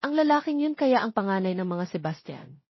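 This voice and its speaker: A woman's voice: mid-range voice, sing-song, fairly quick, breathy edge. A Filipino woman voicing a shy, flustered, polite nineteen-year-old housekeeper's granddaughter in a romance story. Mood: curious. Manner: polite, nervous, earnest. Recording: dull, roomy, lo-fi audio.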